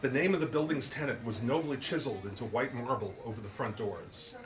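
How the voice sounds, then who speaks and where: A man's voice: medium pitch, fairly quick. A man giving a live reading of his comic mystery novel as storybook narration. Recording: live reading with clear background noise. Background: clear ambient noise.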